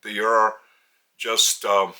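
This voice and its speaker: Slow, earnest Lithuanian-accented man. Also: fairly low pitch, little pitch movement, bright, forceful tone. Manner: slow, deliberate and earnest, with many pauses. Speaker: religious teacher. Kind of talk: spoken spiritual teaching. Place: indoors at home.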